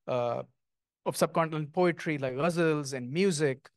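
Middle-aged male author, reflective and poetic, slightly high voice, handheld mic, dry. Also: moderate pace, dry recording. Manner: thoughtful, lyrical, with frequent ums. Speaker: guest author. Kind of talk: onstage author conversation. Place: small stage before an audience, indoors.

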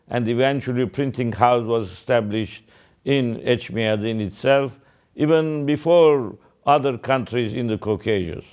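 A man in a medium-pitched voice. He delivers a formal church address solemnly, in short, deliberate phrases. The recording is a little dull and muffled. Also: a little mellow. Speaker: archbishop addressing the faithful. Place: indoor office, seated at a desk.

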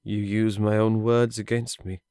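An actor playing an older miser, anguished and humbled, pleading in an audio drama. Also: male voice, fairly low pitch, flat delivery, unhurried, dark tone. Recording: echoing reverberant hall effect.

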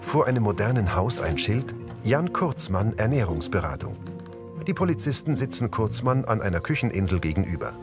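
A man reading audio description for a TV series in a neutral, measured way. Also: lowish voice, big pitch swings, music in the background.

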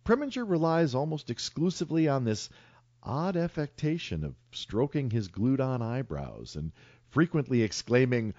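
Lively wry man reading a segment. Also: mid-range voice, normal speed, no room sound, dull audio. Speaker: podcast host.

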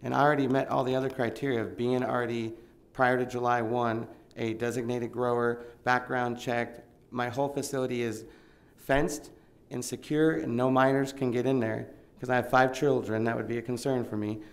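A man's voice: medium pitch, little pitch movement, normal speed, creaky. A middle-aged man from Oregon, a small farmer, giving earnest, rambling public comment at a county meeting through a table microphone. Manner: earnest, rambling, plain-spoken pleading. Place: county commission hearing room, indoors. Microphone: table microphone, seated.

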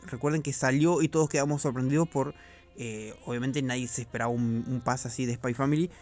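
A man's voice: medium pitch, steady tone, fairly quick, darkish tone, faint music behind. A man giving casual, slangy commentary on video game news, with the odd swear word. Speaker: gaming YouTuber.

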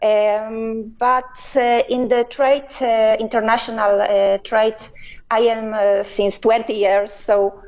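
A woman, a company founder answering interview questions in halting English full of fillers and restarts. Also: fairly high pitch, level tone, darkish tone, muffled recording.

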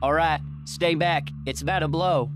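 A man's voice: on the high side, fairly even intonation, normal speed, natural voice. A man voicing an eager, talkative young zombie apocalypse survivor in video game lines. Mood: eager, uneasy. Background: music.

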